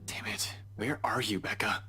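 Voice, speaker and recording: A man's voice: fairly low pitch, fairly even intonation, normal speed, bright, forceful tone, soft background music. A man voicing a worried young cop calling his friends in a visual novel game. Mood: worried, urgent.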